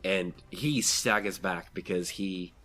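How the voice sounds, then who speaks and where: Low-voiced game master narrating the action. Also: slow pace, fairly bright tone, soft background music. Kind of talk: narrating a tabletop role-playing game.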